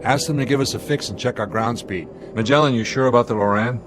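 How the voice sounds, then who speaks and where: A man's voice: mid-range voice, quick pace, lightly acted. Man as commanding airline captain, music behind. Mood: tense, commanding. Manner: authoritative, clipped orders. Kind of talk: radio drama acting.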